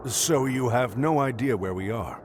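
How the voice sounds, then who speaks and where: A man's voice: mid-range voice, lively intonation, normal speed, a little hiss or hum, natural voice. An actor voicing a heroic, earnest admiral leading his men in a game.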